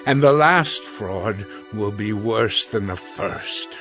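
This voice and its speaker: An actor playing a grave, scheming chief priest in a biblical audio drama, speaking formally and deliberately. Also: male voice, fairly low pitch, lively intonation, a bit bright, faint music behind, full character voice. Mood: grave, urging.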